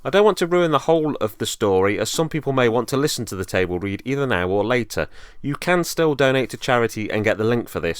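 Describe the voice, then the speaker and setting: A man's voice: medium pitch, smooth, dry recording, clean studio sound. A man presenting a semi-scripted review as host, speaking in an earnest, enthusiastic way. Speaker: host and reviewer of horror films.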